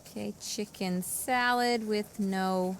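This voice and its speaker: An actress playing a friendly, obliging diner waitress in a video game, speaking in a familiar, easygoing way. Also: female voice, mid-range voice, fairly even intonation, takes it slowly, a little hiss or hum.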